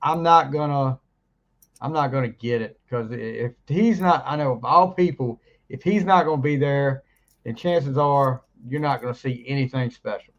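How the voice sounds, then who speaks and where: A man co-hosting a casual podcast, rambling and laughing, his voice deeper than usual after the flu. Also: moderate pace, highs cut off. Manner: rambling, laughs often, trails off mid-sentence. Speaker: podcast co-host. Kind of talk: casual chat.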